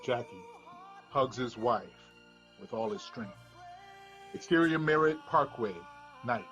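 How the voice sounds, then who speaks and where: A man's voice: mid-range voice, animated, slow pace, a bit bright, soft background music, poor audio. A man narrating the stage directions of a screenplay at a table read.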